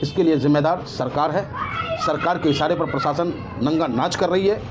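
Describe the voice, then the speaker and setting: A man's voice: a little high, moderate pace, crowd noise, background noise. A man giving impassioned opinions as an interview guest, emphatic and indignant, full of rhetorical questions.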